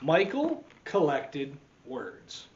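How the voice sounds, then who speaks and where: A man reading a picture book aloud to children as a teacher, expressively, with dramatic swings and deliberate pauses. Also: a little high, huge pitch swings, lo-fi sound. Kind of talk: animated children's storytime read-aloud.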